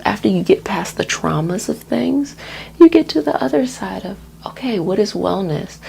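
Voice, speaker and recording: A woman with a soft, breathy voice that often drops to a whisper gives a soothing, gently reassuring ASMR energy healing talk. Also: lowish voice, a little hiss or hum. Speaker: energy healer and host of healing sessions.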